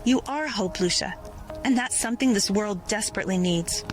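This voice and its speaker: Casting: woman as resolute rebel leader carrying a heavy burden, audio drama, medium pitch, faint music. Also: brisk, lightly acted. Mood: earnest, determined. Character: determined female rebel leader Seraphine, burdened but full of faith.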